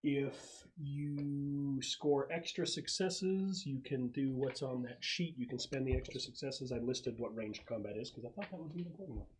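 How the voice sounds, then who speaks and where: A man's voice: medium pitch, moderate pace, hardly any pauses. A man speaking as game master, explaining rules and narrating the action in a conversational way.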